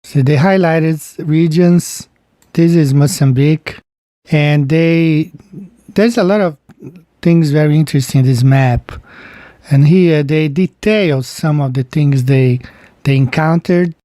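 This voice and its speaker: Slow-talking man with mellow voice. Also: a little high, dry recording, clean studio sound. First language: Portuguese. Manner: slow, meandering, pointing things out on screen. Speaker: channel host. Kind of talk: casual screen-share explainer.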